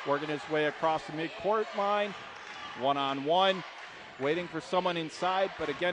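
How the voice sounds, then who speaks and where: A man doing live radio play-by-play of a basketball game as the announcer, describing the action in short clipped phrases. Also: on the high side. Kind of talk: live radio sports commentary. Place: indoor basketball arena. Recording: noisy live gym, bouncing ball behind. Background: loud game ambience, basketball bounces.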